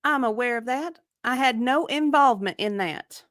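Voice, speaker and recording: A woman's voice: on the high side, normal speed, fairly bright tone, no echo, clean studio sound, natural voice. A woman acting the part of an evasive, defensive victim advocate under deposition, hesitant and clipped in a dramatic reading.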